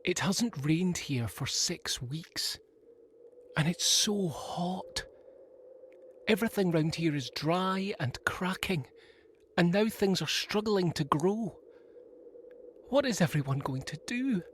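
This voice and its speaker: A man's voice: sits high, expressive, takes it slowly, pauses often, slight background noise. A male actor narrating an audio play as a dramatic storyteller.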